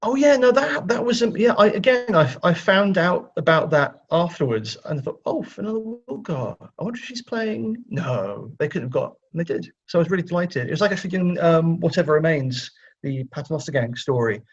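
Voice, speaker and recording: A man speaking as an interview guest in casual chat, halting and repeating words as he goes. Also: on the high side, expressive, breathy edge, dull audio. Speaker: guest writer.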